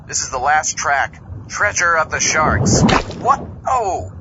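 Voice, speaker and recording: A man's voice: a little high, expressive, slow, muffled recording, heavy background noise. A man hosting a comedy horror podcast skit, reacting in a hammy, exclamatory way. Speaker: podcast host in comic skits.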